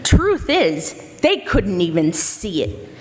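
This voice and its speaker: A woman's voice: mid-range voice, very animated, slow pace. A woman narrating a scripted story for an amateur readers theater. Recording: reverberant hall.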